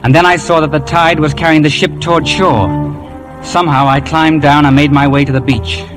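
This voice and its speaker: An actor playing a grown man recounting his boyhood adventure in measured, suspenseful old radio narration. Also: a little high, fairly even intonation, music in the background, close to an everyday voice. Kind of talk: dramatic scripted first-person narration.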